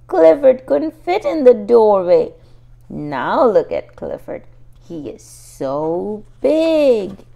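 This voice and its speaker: A woman's voice: mid-range voice, gravelly, warm tone. A woman reading a picture book aloud for young children, expressively and speaking directly to the little listeners. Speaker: children's storybook reader and host.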